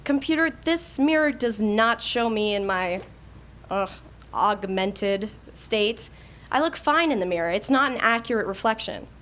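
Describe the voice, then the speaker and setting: A woman's voice: mid-range voice, expressive, a little slow, a bit bright. A woman as a bossy sardonic young woman. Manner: imperious, sardonic. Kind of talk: audio drama acting.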